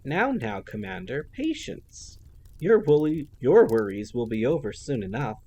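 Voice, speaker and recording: A man's voice: mid-range voice, big pitch swings, measured pace, darkish tone, husky. A man voicing a snide, childlike female villain who mocks and gloats in a theatrical sing-song. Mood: mocking.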